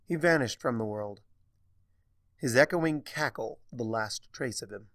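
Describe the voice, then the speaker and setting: A man reading dramatic scripted story narration in an expressive, deliberate manner. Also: medium pitch, no room sound, clean studio sound. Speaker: narrator of fan fiction.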